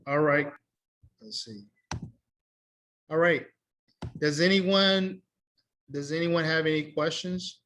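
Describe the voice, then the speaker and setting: A man in his thirties, an English teacher, wrapping up an online class in a relaxed, plain way, repeating himself, heard through a laptop on a video call from a small room. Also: a little high, slow, pauses often, slight background noise. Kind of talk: casual online class wrap-up. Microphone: laptop webcam on a video call.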